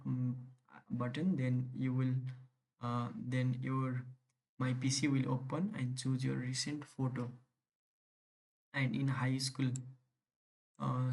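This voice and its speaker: Flat-voiced young man with Nepali accent. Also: medium pitch. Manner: halting, many fillers and restarts. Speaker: vlogger walking through a university application. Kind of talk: screen-recorded tutorial.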